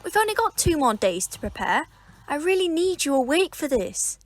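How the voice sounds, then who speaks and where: An actress playing a prim, easily flustered club organiser in an audio drama, speaking in a brisk, clipped, humourless way. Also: female voice, sits high, sing-song. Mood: brisk, exasperated.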